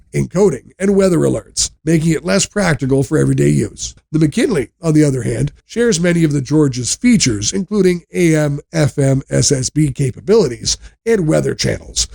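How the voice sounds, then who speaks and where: A man reads a scripted product review in a measured, explanatory way. His voice sits a little high for a male narrator and carries a noticeable creak. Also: darkish tone.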